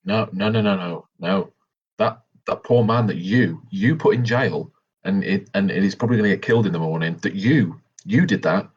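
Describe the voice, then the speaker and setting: A man's voice: on the low side, moderate pace, slightly rough. A man improvising in-character dialogue in a tabletop game, speaking insistently and repeating himself. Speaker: tabletop roleplay player. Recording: dull, lo-fi recording.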